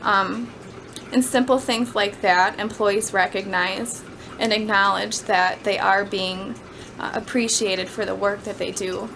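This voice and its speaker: A woman's voice: mid-range voice, fairly even intonation, unhurried, a bit bright. Woman giving a speech, noisy recording. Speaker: student giving a class speech. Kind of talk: informal prepared speech on personal work experience. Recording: clearly noisy amateur camera recording.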